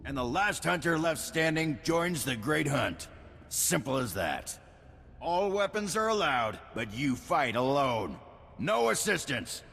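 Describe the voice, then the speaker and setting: A booming, authoritative tournament master in a video game. His voice is sharp and gravelly, with a theatrical delivery. Long reverb surrounds him. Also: sing-song, a little slow, full character voice. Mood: commanding. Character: commanding male arena master of a bounty hunters' tournament.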